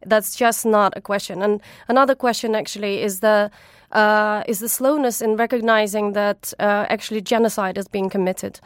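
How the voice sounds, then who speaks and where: A woman's voice: medium pitch, fairly even intonation, moderate pace, no room sound, studio quality. A woman from Ukraine whose first language is Russian, a chef and food writer answering interview questions earnestly and with feeling, often restarting her sentences.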